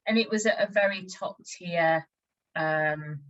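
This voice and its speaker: Low-voiced Yorkshire woman telling stories. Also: unhurried, fairly bright tone, muffled recording. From West Yorkshire, England. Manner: frank personal storytelling. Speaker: interviewer. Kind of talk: conversational interview.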